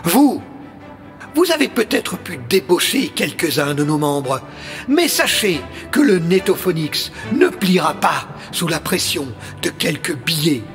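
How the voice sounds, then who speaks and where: A man's voice: on the high side, big pitch swings, measured pace, fairly bright tone, faint music behind, heavily acted. A man playing a pompous, impassioned leader of an amateur resistance in an audio comedy drama, speaking in grandiloquent declamation. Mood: rousing, pompous.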